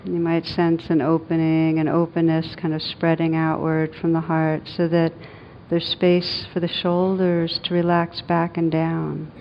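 A woman meditation teacher leading a guided meditation in a gentle, soothing, unhurried manner with long pauses. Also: completely flat delivery. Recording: dull lo-fi sound, rumbling background noise.

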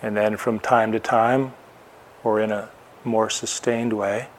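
A man teaching a guided meditation, speaking in short, unhurried phrases with long pauses between them. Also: fairly low pitch, flat delivery, slight background noise.

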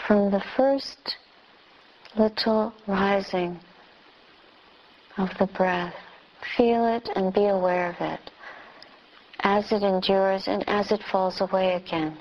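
A woman who is a Buddhist nun leading a guided meditation, speaking in short phrases with long pauses. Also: medium pitch, steady tone, dull audio, a little hiss or hum.

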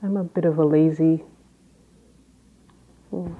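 A woman Zen teacher with a low voice, giving an unhurried dharma talk with halting phrases and long pauses. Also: steady tone, narrow, tinny audio.

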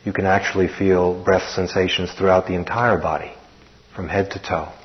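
A man teaching a guided meditation in an unhurried manner, leaving long pauses between short phrases. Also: low voice, flat delivery. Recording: dull, muffled recording.

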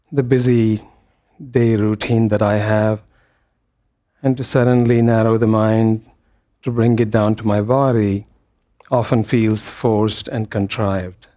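A man leading a guided meditation as a teacher, speaking gently in short phrases with long pauses between them. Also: lowish voice. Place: meditation hall.

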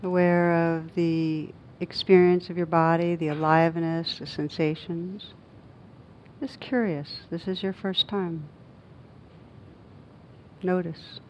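A woman guiding a meditation as a teacher, speaking gently and unhurriedly in short phrases with long pauses. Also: fairly even intonation. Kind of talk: guided meditation. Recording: dull muffled recording with faint rumbling noise.

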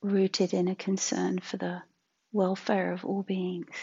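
A woman teaching a guided meditation in a soft, breathy, husky voice, gentle and unhurried with long pauses. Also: on the low side, monotone, lo-fi sound.

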